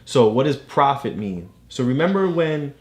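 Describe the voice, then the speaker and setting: Very low-voiced woman teaching. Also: sing-song, moderate pace, a little mellow. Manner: encouraging, checks understanding with questions. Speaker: parent tutor guiding a child's reading. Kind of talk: casual teaching explanation.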